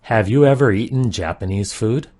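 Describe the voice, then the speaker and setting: A man's voice: on the low side, moderate pace, dry recording, clean studio sound. Man reading practice sentences twice. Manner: reads each sentence twice, careful and even. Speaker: English sentence reader. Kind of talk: slow repeated sentence reading for learners.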